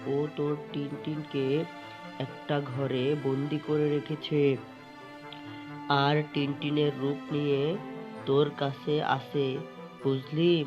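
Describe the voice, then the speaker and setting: An actor voicing a wise, commanding old owl healer in a cartoon, explaining solemnly in a gravelly voice. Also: medium pitch, level tone, slow pace, pauses often, natural voice. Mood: grave, instructing. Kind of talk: cartoon voice acting. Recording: dull, lo-fi track under music. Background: plucked string music.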